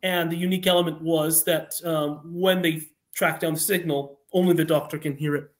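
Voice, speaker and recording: A man with a high voice gives interview answers as a guest writer on an online call. He is earnest and tells things as a story, often halting and restarting his phrases. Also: steady tone. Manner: earnest, halting, self-correcting.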